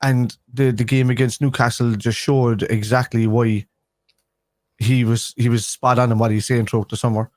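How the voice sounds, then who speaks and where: A man's voice: medium pitch, level tone, moderate pace, a little mellow, no echo. A man with a Liverpool accent, co-hosting a football fan live stream, talking casually and rambling with restarts a day after having a tooth pulled. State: speaking after a tooth extraction.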